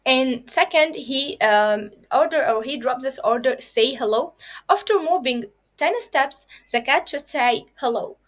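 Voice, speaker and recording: A woman teaches children the basics of coding. Her voice sits a little high, and she explains each point step by step and repeats it, with plenty of fillers along the way. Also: expressive, unhurried, fairly bright tone. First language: Arabic. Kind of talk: kids' coding lesson.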